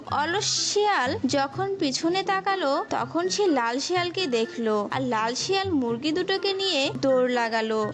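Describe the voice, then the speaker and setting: A woman narrating a scripted children's animal fable for a cartoon. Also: high voice. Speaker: story narrator.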